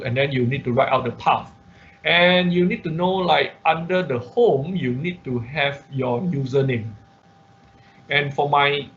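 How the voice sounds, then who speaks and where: A man teaching a live online tutorial step by step, halting and repeating himself as he checks that listeners follow. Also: mid-range voice, creaky. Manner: halting, repetitive, checks understanding with "okay" and "right". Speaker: instructor. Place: online class from a computer. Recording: dull lo-fi audio with background noise.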